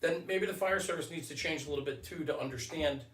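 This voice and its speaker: A man speaking in a halting, earnest way in a conversational podcast discussion, repeating himself as he goes. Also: a little high, fairly bright tone. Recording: roomy echo, distant microphone.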